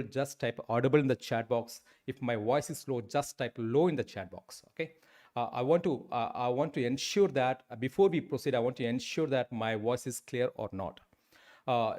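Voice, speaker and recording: A man's voice: mid-range voice, darkish tone. A man in his forties with an Indian English accent, teaching a live online class with many fillers and restarts. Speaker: instructor. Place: indoor room, webcam.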